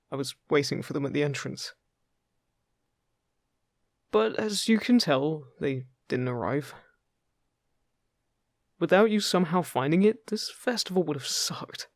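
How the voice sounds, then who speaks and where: Man playing a friendly, higher-voiced fairy guide. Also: no room sound, studio quality. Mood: sincere, warm. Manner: encouraging, gentle, chatty. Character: friendly, upbeat fairy guide teaching magic at a festival. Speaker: voice actor in an audio roleplay. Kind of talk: intimate scripted roleplay addressed to the listener.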